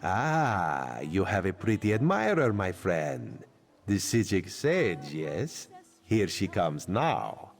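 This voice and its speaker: Sly, low-voiced catlike spy. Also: male voice, huge pitch swings, slow pace, creaky, exaggerated voice. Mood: wry, suspicious. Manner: refers to himself in third person, teasing, conspiratorial. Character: sly catlike male spy, third-person talker, playfully secretive. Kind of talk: video game character acting.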